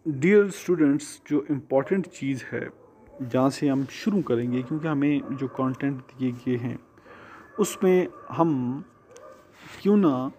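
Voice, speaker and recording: A man with a Pakistani accent lecturing students as a law teacher, explaining deliberately and repeating and rephrasing his points. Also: mid-range voice, dark tone, a little hiss or hum. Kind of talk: exam-preparation lecture to students, mixing Urdu and English.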